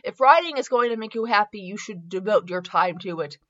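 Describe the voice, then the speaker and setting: Romantic rich gentleman acted by a woman, transatlantic accent, theatrical, ardent old-movie dialogue. Lo-fi recording. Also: mid-range voice, lively intonation, brisk, pitched below the performer's own voice, close to an everyday voice. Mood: devoted, reflective. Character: rich, romantic man, smitten old-time gentleman lover.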